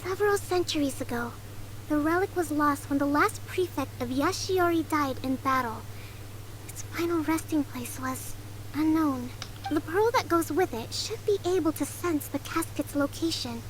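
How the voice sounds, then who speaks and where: An actress voicing a composed young female general who explains things calmly. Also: high voice, moderate pace, a little hiss or hum, natural voice, rough recording. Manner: calm and explanatory. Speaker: general and strategist.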